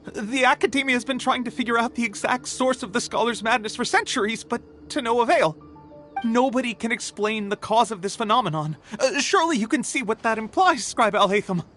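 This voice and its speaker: An earnest young scholar, high voice. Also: male voice, brisk, a bit bright, faint music behind. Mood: serious. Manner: earnest, explanatory. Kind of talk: video game voice acting.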